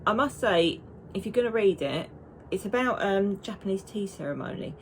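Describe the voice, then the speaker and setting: A woman's voice: medium pitch, expressive, moderate pace, slight background noise, poor audio. A woman, chatting casually and rambling to camera as a knitting vlogger. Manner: chatty and rambling, with frequent restarts.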